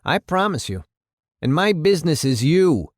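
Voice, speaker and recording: A man acting out a blustering, high-pitched angry mayor. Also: big pitch swings, moderate pace, no room sound, clean studio sound. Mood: threatening. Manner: indignant, hectoring, emphatic.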